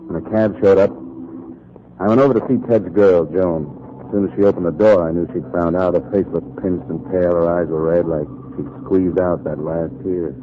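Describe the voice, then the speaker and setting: Actor as deep-voiced hard-boiled 1940s radio newspaperman. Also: fairly even intonation, normal speed, natural voice. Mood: brooding. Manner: terse, world-weary, dramatic. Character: hard-boiled male newspaper columnist, brooding and stubborn. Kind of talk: 1940s noir radio acting with first-person narration. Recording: muffled, noisy 1940s radio recording.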